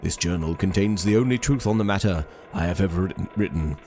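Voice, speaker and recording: A man's voice: sits low, quick pace, darkish tone. A man reading a game journal aloud as an 18th-century sea captain writing a solemn, formal letter to his daughter.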